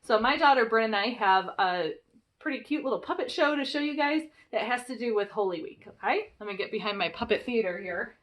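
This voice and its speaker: A woman with a high voice speaks as a children's ministry presenter. She is informal and encouraging, keeping her explanations simple for young listeners. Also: expressive, fairly quick, a bit bright. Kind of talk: children's church message, informal address.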